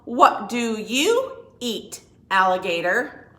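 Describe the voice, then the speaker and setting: A woman voicing a boastful, cheery wide-mouthed frog from a storybook, declaiming loudly and exuberantly. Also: on the high side, big pitch swings, slow, reverberant, full character voice. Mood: curious.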